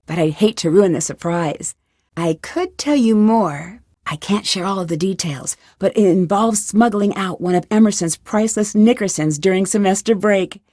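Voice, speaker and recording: Woman as teen girl sleuth, scripted game narration, medium pitch, confident, dry studio sound. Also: normal speed, warm tone, no room sound, lightly acted. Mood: playful, secretive. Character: bright, confident teenage girl detective recounting her cases.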